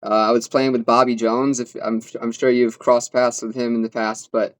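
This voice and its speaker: A man's voice: medium pitch, flat delivery, normal speed, no echo. A man from Western New York hosting a podcast, hesitant and fumbling for his words. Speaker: podcast host. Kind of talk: casual podcast chat.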